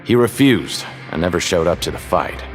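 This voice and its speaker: Casting: shifty fight-betting vendor, low voice, lively swings, casual confiding game delivery, music underneath. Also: male voice, normal speed. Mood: storytelling. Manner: casual, hesitant, confiding salesman. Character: shifty, folksy adult male betting-ticket seller at fights. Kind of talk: video game character acting.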